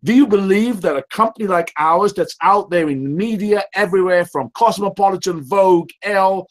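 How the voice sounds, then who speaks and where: Male call host, loud, high voice, emphatic, pushy repetition. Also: moderate pace. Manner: emphatic, repetitive, rhetorical questions. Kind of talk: motivational sales call. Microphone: call audio.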